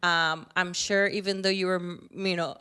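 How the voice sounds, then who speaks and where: A woman's voice: fairly low pitch, steady tone, normal speed, bright, forceful tone, smooth. A woman with a Spanish-speaking Caribbean accent hosting a podcast interview, effusive and affectionate, laughing often. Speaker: podcast host. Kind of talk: casual interview chat.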